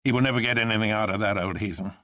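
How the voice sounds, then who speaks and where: A man's voice: lowish voice, steady tone, very fast, sharp tone, dry recording, studio quality. Man playing an earnest, sardonic idealist. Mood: bold.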